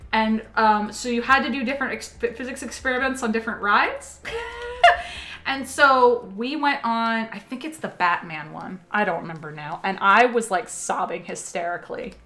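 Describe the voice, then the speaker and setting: A young woman chatting casually on a stream, reading out viewer messages and telling animated stories with fillers and giggles. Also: fairly high pitch, normal speed. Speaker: stream co-host. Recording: faint music behind.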